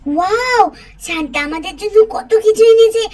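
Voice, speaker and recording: A woman voicing an excitable little girl doll in a cartoon story, childlike and eager, repeating her phrases. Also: extremely high pitch, normal speed. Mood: amazed, excited.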